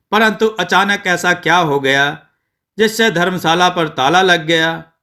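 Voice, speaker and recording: A man narrating a scripted moral story in a measured, deliberate way, phrase by phrase. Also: fairly high pitch, bright, forceful tone. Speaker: narrator of devotional moral stories.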